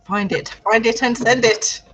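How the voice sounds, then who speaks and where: A woman hosting a writing livestream, chatting in a lively, self-deprecating way with her co-host. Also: fairly high pitch, a little slow, breathy edge. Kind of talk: casual chat on a writing livestream.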